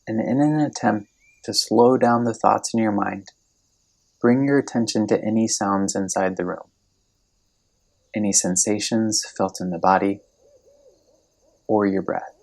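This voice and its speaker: A man with a low, very creaky voice guides a meditation. He delivers scripted instructions gently and soothingly. His phrases come unhurried, with long pauses between them. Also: fairly even intonation.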